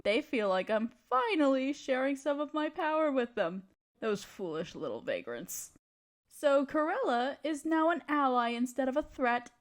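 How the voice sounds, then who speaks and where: A woman playing a haughty, scheming evil queen in an audio drama, speaking in an imperious, theatrical, condescending way. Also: high-pitched. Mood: smug, scheming. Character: scheming evil queen, haughty tyrant gloating to her advisor. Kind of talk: scripted roleplay audio drama monologue.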